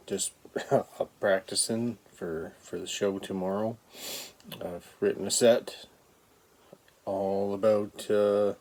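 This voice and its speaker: A middle-aged Canadian man, a comedian hosting his show, talking casually to viewers in a halting, rambling, informal way. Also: low voice, darkish tone. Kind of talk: casual vlog talk to viewers.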